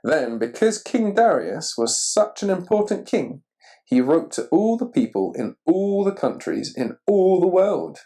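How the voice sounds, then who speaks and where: A man reading a children's picture book aloud expressively and deliberately, putting questions to the listener. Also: high-pitched, big pitch swings, mellow. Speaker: story reader.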